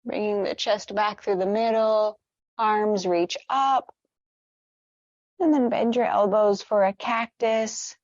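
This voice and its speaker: Slow-paced woman guiding meditation. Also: medium pitch, fairly even intonation, no room sound, lo-fi sound. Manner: gentle, unhurried, soothing instruction. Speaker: meditation guide. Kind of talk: guided meditation with gentle stretches.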